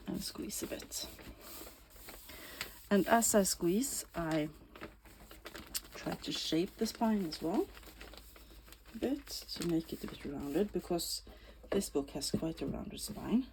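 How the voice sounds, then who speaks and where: Slow Swedish-accented woman crafter, gravelly. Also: lowish voice, expressive, slight background noise, rough recording. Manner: slow, thoughtful, often drops to soft whispers while working. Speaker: bookbinding crafter. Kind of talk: casual craft tutorial.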